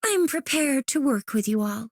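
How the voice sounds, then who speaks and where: A woman voicing a composed, cryptic scholarly woman in a game, speaking in a measured, formal way. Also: high-pitched, expressive, no room sound, clean studio sound. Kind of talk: game character voice line.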